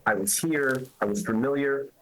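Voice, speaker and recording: A man's voice: medium pitch, moderate pace, slight background noise, poor audio. A man from Canada, an immigration lawyer, answering interview questions in an emphatic, arguing way with rhetorical questions.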